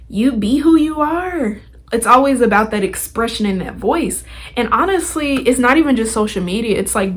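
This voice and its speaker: A young adult woman hosting a podcast, talking in a casual, motivational way with chatty, informal, slangy phrasing. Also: medium pitch. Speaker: podcast host.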